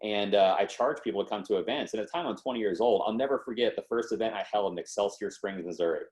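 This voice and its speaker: A man's voice: on the low side, fast talker. A man in his twenties, a podcast guest, telling his story in a casual, slangy way and acting out what people said. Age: 20s. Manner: lively, slangy, acts out quotes.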